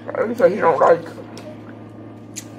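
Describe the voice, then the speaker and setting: A woman's voice: on the low side, extremely slow. A woman off camera bluntly chatting back during a family dinner. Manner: blunt, talks back from across the room. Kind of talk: casual chat. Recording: distant, noisy home recording.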